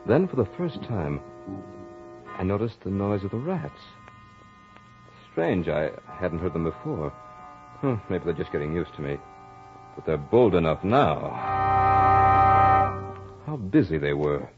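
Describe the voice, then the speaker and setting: A man playing a studious, self-assured young gentleman, telling his story in a measured, literary way in the style of 1940s radio drama. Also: sits low, very animated, full character voice. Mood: intrigued, uneasy. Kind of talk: 1940s radio drama acting and first-person narration. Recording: dull old radio recording, music behind.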